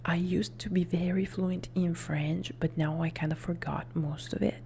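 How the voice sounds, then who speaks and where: A woman, a native Italian speaker, chatting in a soft whisper on an ASMR live stream, rambling and correcting herself as she goes. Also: lowish voice, fairly even intonation, normal speed, gravelly, a little hiss or hum. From Italy.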